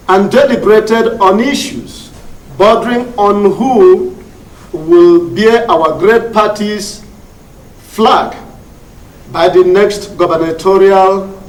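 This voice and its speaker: An echoey, distant recording of a Nigerian political chairman in a TV drama. He is pompous and quick to anger. His voice sits very high for a man and his delivery is formal and ponderous. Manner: formal, ponderous, repeats words when angry. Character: irascible male party chairman, pompous and easily outraged. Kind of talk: TV drama acting. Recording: echoey room, distant microphone.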